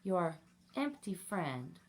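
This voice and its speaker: Woman acting out sad storybook crayon, wistful, hesitant, high swooping pitch, slow. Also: sing-song, full character voice. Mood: forlorn, wounded. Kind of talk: storybook read-aloud for children.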